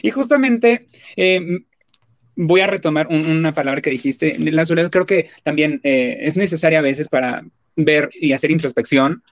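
A man with a Mexican accent conducting a casual interview, working toward his questions in a halting, roundabout way. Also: on the high side. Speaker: magazine interviewer.

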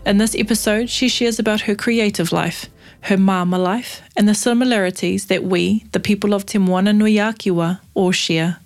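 Female podcast host, New Zealand accent, medium pitch, conversational interview delivery. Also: steady tone, normal speed. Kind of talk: conversational interview with scripted introduction.

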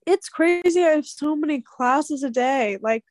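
A young woman chats in a slightly nasal, fairly high voice, rambling through animated stories full of fillers. The sound is close and dry, with no room around it. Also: measured pace, no room sound. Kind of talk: casual chat.

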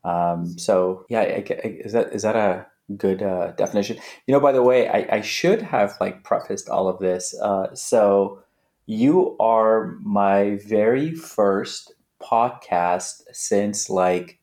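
A man's voice: lowish voice, takes it slowly, darkish tone. A man in his late thirties from Afghanistan, a podcast guest talking casually with many restarts and fillers. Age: late 30s. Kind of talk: casual interview chat.

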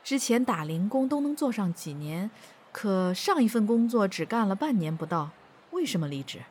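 An actress playing a sardonic, no-nonsense female personnel officer in an audio drama, brisk and probing. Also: medium pitch, big pitch swings, a little mellow, a little hiss or hum, natural voice. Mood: probing, wry. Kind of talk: Chinese audio drama acting.

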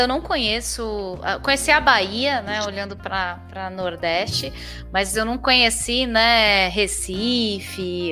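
A woman's voice: fairly high pitch, bright, forceful tone. A woman with a Brazilian accent hosting a casual interview, talking in an effusive, informal way. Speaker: interview show host. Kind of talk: casual friendly interview chat.

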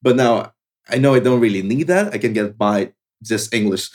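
A man's voice: mid-range voice, normal speed. A young man from Brazil with a Brazilian Portuguese accent, a native Portuguese speaker chatting casually as a podcast guest and leaning on "like" and "you know". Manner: relaxed, leans on "like" and "you know" fillers. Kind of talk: casual chat. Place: travelling in Morocco, talking over a call.

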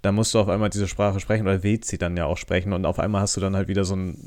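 A man with a slightly low voice talks in a casual podcast style. He often breaks off and rephrases, keeping the wording informal and conversational. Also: little pitch movement, racing, darkish tone. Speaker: podcast co-host.

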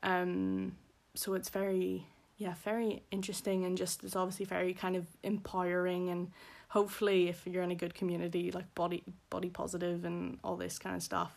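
Chatty young Belfast woman, slightly gravelly. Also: lowish voice, monotone, a little fast, few pauses, a little mellow. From Northern Ireland. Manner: rambling, many fillers and laughs. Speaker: guest. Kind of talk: casual conversational interview.

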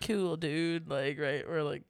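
A man's voice: on the high side, steady tone, takes it slowly, vocal fry, clear, resonant tone, no echo. A man from the United States chatting casually as a podcast co-host, rambling and laughing often. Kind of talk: casual chat.

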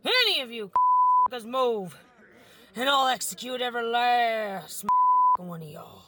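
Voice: medium pitch, animated, unhurried, pushed and bright. An actor playing a foul-mouthed, hot-tempered Old West bank robber in a comic audio drama. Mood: threatening. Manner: aggressive, swearing, ranting.

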